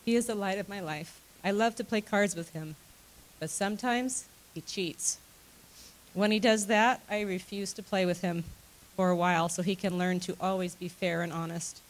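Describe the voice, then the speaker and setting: A woman's voice: mid-range voice, steady tone, normal speed, lightly acted, low-quality recording. A woman playing a devoted, widowed grandmother in a reader's theater monologue, speaking in an earnest, sentimental, reflective way. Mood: fond, earnest.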